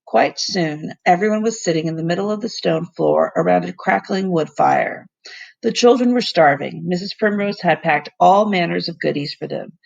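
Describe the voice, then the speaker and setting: A woman teacher reading a children's story aloud in an expressive way, stumbling now and then and commenting aside to her listeners. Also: on the low side, normal speed, vocal fry, highs cut off.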